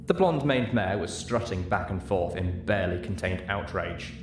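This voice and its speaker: A man's voice: sits low, lively intonation, moderate pace, music in the background. A man narrating a story as a dramatic audiobook reader, recorded in a room. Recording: echoey room.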